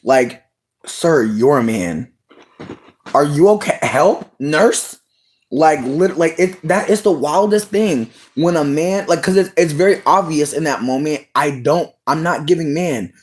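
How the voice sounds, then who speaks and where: A person livestreaming casual chat with viewers, sassy and expressive with lots of swearing, talking while snacking. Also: mid-range voice, a little slow.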